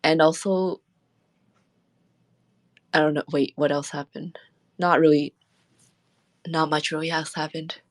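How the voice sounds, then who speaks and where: Woman streamer, low register, relaxed rambling chat with frequent laughs, dry booth sound. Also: a little slow, long pauses, no echo. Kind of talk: casual late-night stream chat.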